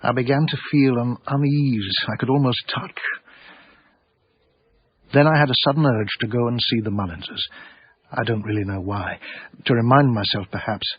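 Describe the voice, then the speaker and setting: An actor playing a middle-aged, melancholy art dealer, narrating a radio drama in a measured, restrained, formal way. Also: male voice, medium pitch, steady tone, husky, natural voice. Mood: brooding. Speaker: narrator and lead character. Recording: slightly dull radio recording, roomy.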